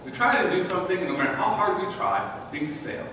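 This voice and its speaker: A middle-aged man preaching a sermon as pastor in a church sanctuary, animated and gesturing as he walks before the altar. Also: high voice, quick pace, noisy background. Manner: animated, sweeping arm gestures while walking. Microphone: far camera in the church. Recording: echoey church from a distance.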